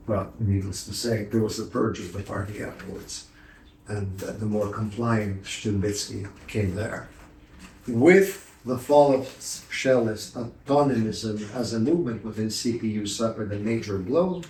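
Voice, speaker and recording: A man's voice: fairly low pitch, darkish tone, a little hiss or hum. An older man with a Ukrainian accent giving an unscripted university lecture, digressing and restarting as he speaks. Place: lecture hall. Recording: roomy sound from a distance.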